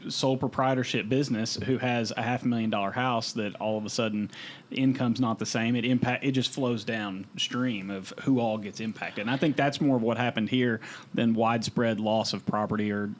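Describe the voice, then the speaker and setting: A man's voice: mid-range voice, steady tone, a little fast, slight background noise. An American man in a casual podcast discussion, adding his points conversationally as a panelist.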